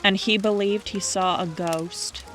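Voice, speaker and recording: Actress as wry, stubborn young skeptic. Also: female voice, medium pitch, fairly even intonation, a little slow, a bit bright, soft background music, natural voice. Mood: dismissive. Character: Billy, teasing, stubborn young skeptic of ghosts. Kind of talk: audio drama dialogue.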